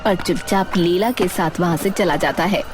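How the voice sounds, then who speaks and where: A woman's voice: lowish voice, moderate pace. Woman narrating a story over music.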